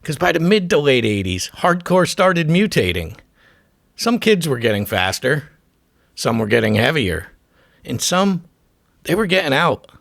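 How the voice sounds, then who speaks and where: A man delivers scripted music documentary narration in short, clipped phrases. His pitch swings in a lively way and his voice has a gravelly fry. The delivery is irreverent and punchy, recorded very dry. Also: unhurried, no echo.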